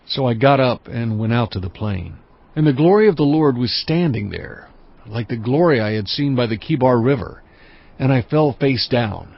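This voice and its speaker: An actor playing a solemn male prophet who recounts his visions in earnest, measured first-person scripture narration. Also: mid-range voice, expressive, vocal fry, muffled recording.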